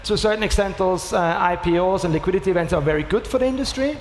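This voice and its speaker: A man with an Austrian accent, speaking as a startup co-founder on a conference panel and telling stories with lively comparisons. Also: sits high, fast. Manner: lively storytelling, uses sports comparisons. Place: large conference hall. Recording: echoey hall with faint noise.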